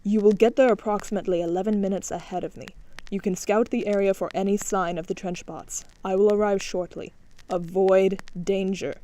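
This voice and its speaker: A woman voicing a stern male robot detective in a clipped, formal, flat way. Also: medium pitch, a little fast, natural voice, poor audio. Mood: commanding.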